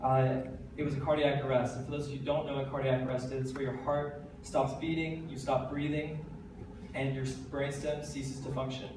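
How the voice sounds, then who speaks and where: A teenage man with an American accent, giving an earnest, energetic stage talk with light self-deprecating jokes. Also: mid-range voice, brisk. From United States. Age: teens. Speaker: TEDx speaker. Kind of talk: stage talk to a live audience. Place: large hall. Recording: very echoey hall, voice from a distance, faint background noise.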